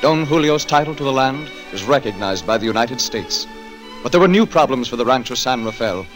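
A man's voice: medium pitch, expressive, a little fast, over music. A man reading scripted narration for a 1940s radio drama in a dramatic, declamatory manner.

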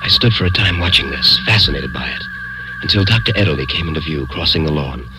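Radio mystery hero telling his story, deliberate and suspenseful, deep voice, swings around a lot. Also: expressive, low-quality recording. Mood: suspenseful, absorbed. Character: curious, insistent man narrating his eerie mystery. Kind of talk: dramatic first-person radio mystery narration. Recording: radio drama with music behind.

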